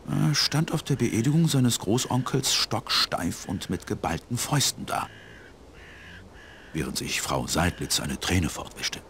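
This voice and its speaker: A low-voiced old country pastor in a video game, courteous and measured, with lively intonation. Faint noise and crow calls sit behind him. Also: male voice. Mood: solemn, reflective. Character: elderly gentle village pastor. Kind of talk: video game character dialogue.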